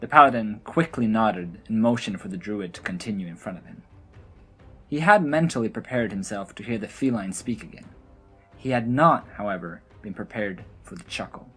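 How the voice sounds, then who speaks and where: A woman narrates a scripted fantasy tale. Her voice is very low and husky, moving expressively up and down as she tells the story. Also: expressive, moderate pace, fairly bright tone, faint music behind.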